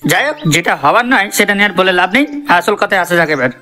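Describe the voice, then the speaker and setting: A man's voice: high-pitched, animated, racing, sharp tone. A man voicing an assertive comedy cartoon character, emphatic and matter-of-fact. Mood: earnest. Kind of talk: cartoon character addressing viewers. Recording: voice over background music.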